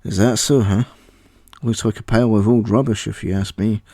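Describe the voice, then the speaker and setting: A man's voice: fairly low pitch, fairly even intonation, normal speed, mellow, breathy edge, no echo, clean studio sound. A man voicing a bewildered, dryly sceptical young boy who has lost his memory.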